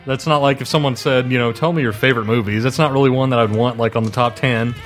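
A man's voice: medium pitch, fairly even intonation, fast talker, fairly bright tone, soft background music. A man co-hosting a podcast in casual conversation. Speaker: podcast co-host. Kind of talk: casual chat.

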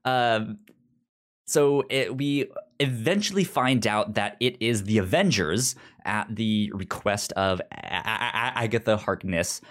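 A man's voice: fairly low pitch, slow, fairly bright tone. A man co-hosting a podcast, explaining stories in casual conversation, often repeating words and syllables as he goes. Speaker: comics podcast co-host. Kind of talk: casual chat, retelling and explaining comic plots.

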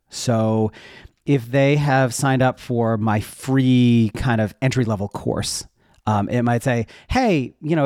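A man's voice: medium pitch, a little mellow, no room sound, clean studio sound. A man talking casually and informally as an interview guest, explaining how he works in a conversational way. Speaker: interview guest, web developer and educator.

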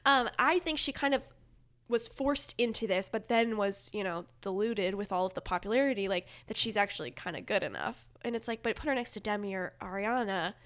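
A woman's voice: mid-range voice, fairly quick, fairly bright tone. A chatty, opinionated woman talking casually and comedically about pop culture as a podcast guest, with emphatic delivery.

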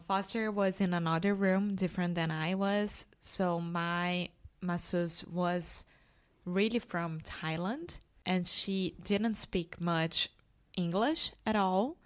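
Slow-talking woman. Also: on the low side, clean tone. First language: Portuguese. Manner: laughs often, careful non-native phrasing. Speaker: podcast co-host. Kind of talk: casual chat.

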